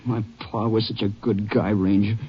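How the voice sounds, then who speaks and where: A man's voice: on the low side, little pitch movement, normal speed, husky. A man acting a grieving, bitter young wounded rancher in a 1950s radio drama, earnest and plain-spoken. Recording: muffled, noisy old radio recording.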